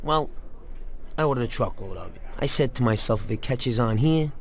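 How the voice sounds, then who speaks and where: Game gangster sidekick male actor, mid-pitched, talkative, slangy, keen to please. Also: lively intonation, moderate pace, natural voice. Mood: enthusiastic. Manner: colloquial street-tough storytelling. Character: eager, chatty male mobster henchman. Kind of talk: video game cutscene acting.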